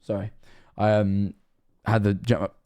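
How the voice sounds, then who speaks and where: A man's voice: lowish voice, little pitch movement, measured pace, warm tone. A Scottish man of 21 streaming casually, rambling and self-mocking, swearing often.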